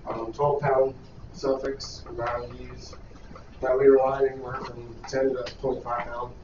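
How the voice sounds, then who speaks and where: A man, a charter captain, listing his tackle in a halting casual answer. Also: mid-range voice, flat delivery, darkish tone, slight background noise. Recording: echoey room, far from mic, dull.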